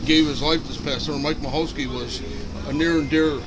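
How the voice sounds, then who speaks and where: A man who preaches on the street, speaking earnestly and in a measured way to police outdoors. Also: fairly high pitch, steady tone. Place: outdoors on a city sidewalk. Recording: noisy busy street outdoors.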